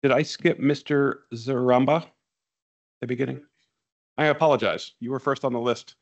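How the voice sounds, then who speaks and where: Gravelly man chairing a hearing. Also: mid-range voice, fairly even intonation, normal speed, dull audio. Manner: brief, polite procedural prompts. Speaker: board chair.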